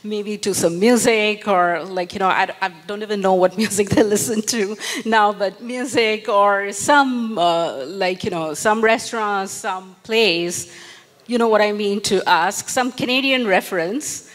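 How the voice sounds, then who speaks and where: A woman's voice: medium pitch, unhurried. Woman moderator, rambling questions with lots of fillers, handheld mic, long hall reverb. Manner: many fillers, laughs softly. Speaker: panel moderator. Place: large event hall with an audience.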